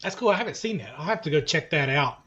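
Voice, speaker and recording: A man's voice: a little high, lively intonation, fast talker, bright, forceful tone. A man in a casual podcast discussion, conversational and loosely rephrasing as he explains. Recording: slightly dull, lo-fi sound.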